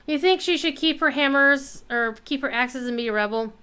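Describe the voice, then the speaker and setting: A woman's voice: high-pitched, normal speed, pushed and bright. A woman game streamer chatting casually, talking to herself and her viewers as she plays. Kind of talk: casual live gameplay chat.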